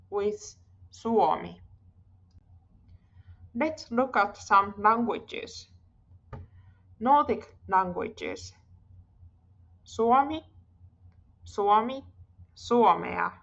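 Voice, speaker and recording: A woman giving a language lesson at an extremely slow, deliberate pace, saying each word carefully and repeating it for drilling. Her recording sounds a little dull and lo-fi. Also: mid-range voice, creaky, fairly bright tone. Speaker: Finnish language teacher.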